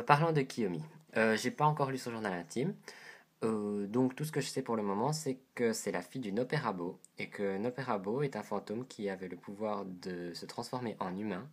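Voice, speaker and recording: Low husky man with a cold. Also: moderate pace. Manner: hesitant, describes details while handling a doll. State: head cold, congested nose. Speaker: doll reviewer. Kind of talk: casual product review to camera.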